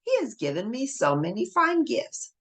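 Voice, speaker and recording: A woman's voice: a little high, huge pitch swings, normal speed, a bit bright, exaggerated voice. A woman voicing a generous, pleased old king in a read-aloud story, speaking in a grand, gracious manner.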